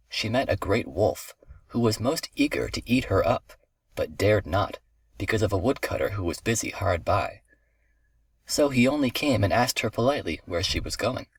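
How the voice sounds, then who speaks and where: A man's voice: lowish voice, breathy edge, no room sound. A man reading a scripted fairy tale for children as a storyteller.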